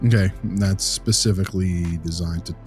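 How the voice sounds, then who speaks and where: Low-voiced man asking probing questions, music behind. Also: steady tone, normal speed, darkish tone. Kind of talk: conversational case discussion.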